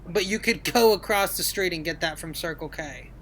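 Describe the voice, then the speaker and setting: A woman's voice: lowish voice, moderate pace, a bit bright, a little hiss or hum. A woman co-hosting a podcast, chatting casually and winding up long, jokey anecdotes. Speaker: podcast co-host. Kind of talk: casual chat.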